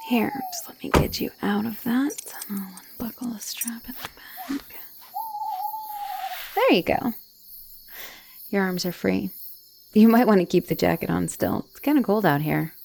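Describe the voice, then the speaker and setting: A young woman playing an obsessive, clingy girlfriend on the run from an asylum, in an intimate one-sided audio roleplay with sighs and soft, teasing turns. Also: mid-range voice, big pitch swings, measured pace, pauses often, a little hiss or hum. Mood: resigned, gentle. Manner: breathy sighs, teasing, drops into soft whispers.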